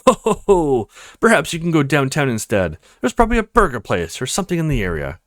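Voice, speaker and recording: A man's voice: fairly high pitch, wildly swooping pitch, fast, no echo, clean studio sound. Man putting on a pompous maitre d'. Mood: contemptuous. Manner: pompous, condescending, fawning to VIPs. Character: snobbish pompous French maitre d' at a posh restaurant. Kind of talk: video game character read-aloud.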